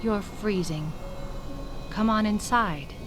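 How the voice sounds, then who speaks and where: An actress playing a gentle, guarded woman in an audio drama. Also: medium pitch, takes it slowly, loud music behind the voice, natural voice. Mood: concerned.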